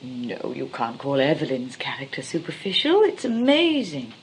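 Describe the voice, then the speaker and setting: An actress playing a flirtatious, determined society woman in a 1920s comedy of manners, gushing, archly polite and quick to take offence. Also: a bass voice, huge pitch swings, slightly rough, exaggerated voice, rough recording. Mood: coy admiration. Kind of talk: 1920s English comedy of manners stage acting.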